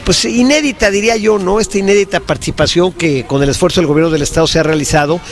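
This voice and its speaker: A Mexican man with a notably high voice hosts a live sports show. He interviews guests courteously and chats in a conversational way. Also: quick pace. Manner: polite, conversational.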